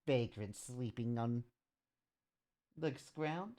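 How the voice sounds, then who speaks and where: A woman voicing a calm, polite male priest who stays coldly unfazed, speaking in a slightly lower, unhurried voice.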